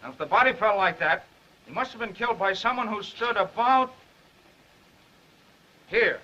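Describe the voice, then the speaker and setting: A man's voice: sits high, moderate pace, bright, forceful tone. An actor playing a brash, cocky young male reporter in a 1930s film. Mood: brisk, probing. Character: brash, cocky young newspaper reporter, eager and fast-talking. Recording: dull 1930s film soundtrack.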